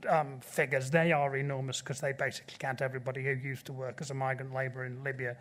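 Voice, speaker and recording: A middle-aged man answering at a conference panel, earnest and measured as he presses his point, speaking into a table microphone in a large auditorium. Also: medium pitch, level tone, a bit bright. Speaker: panelist from a sea rescue group.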